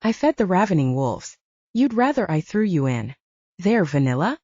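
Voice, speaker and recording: A woman's voice: lowish voice, very animated, normal speed, dark tone, no room sound, highs cut off. A woman voicing a brusque, sardonic, menacing man in an audiobook, with a curt, taunting manner. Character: Armand, gruff, sardonic male ex-butcher, brusque and menacing. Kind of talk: audiobook character acting.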